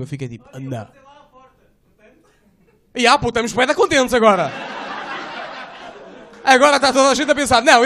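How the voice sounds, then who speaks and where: A man doing a stand-up set in a small club, slangy and animated, acting out voices and sounds. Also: high voice. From Portugal. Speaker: stand-up comedian. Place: small club stage, indoors. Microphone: handheld mic.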